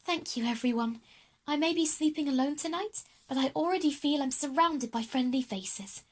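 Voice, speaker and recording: A woman voicing a timid, grateful girl with a troubled past in an audio drama, soft and earnest. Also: high voice, moderate pace, voice pushed up, lightly acted. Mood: shy, grateful.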